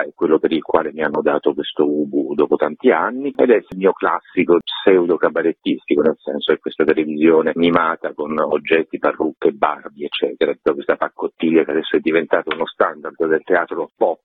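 Very deep-voiced man on a phone line. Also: slightly rough, no room sound. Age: middle-aged. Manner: reflective, wordy, many fillers. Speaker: theatre author and actor, guest. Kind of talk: radio interview. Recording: tinny phone-like radio line.

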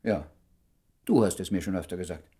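A man's voice: sits low, brisk, warm tone, close to an everyday voice. An actor playing a jealous, sardonic, lovesick man in his thirties in a radio comedy drama, blustering and stammering when worked up. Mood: dry, sardonic. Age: 30s.

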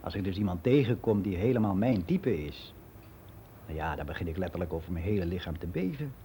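Mellow-voiced, candid older man. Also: low voice, animated, slight background noise. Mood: candid, confiding. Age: 60s. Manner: frank, reflective, halting with self-corrections. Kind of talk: confessional monologue in a radio play.

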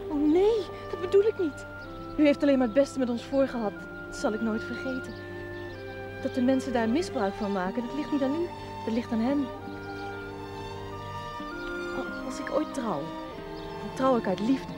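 A woman's voice: high voice, normal speed, close to an everyday voice. An actress playing a serious, proud young village woman, earnest and reserved, in a 1970s Dutch television drama. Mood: firm, sincere. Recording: 1970s TV soundtrack, music underneath.

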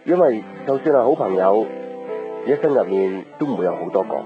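An actor playing a modest, devoted young man, a quietly hopeful suitor in a radio drama. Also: fairly low pitch, lively intonation, fairly quick, close to an everyday voice. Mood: tender, hopeful. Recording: narrow tinny radio recording, faint guitar music.

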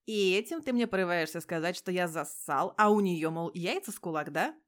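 A woman's voice: lowish voice, expressive, brisk, natural voice. A woman voicing a prickly male police major in an audio story, indignant, slangy and emphatic. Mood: defensive, irritated. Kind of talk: audio story character dialogue.

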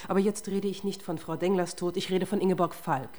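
A woman's voice: lowish voice, fairly even intonation, fairly quick, mellow, close to an everyday voice. An actress playing a tough, relentless female police detective in a German radio crime drama, confrontational and sardonic. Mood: pressing.

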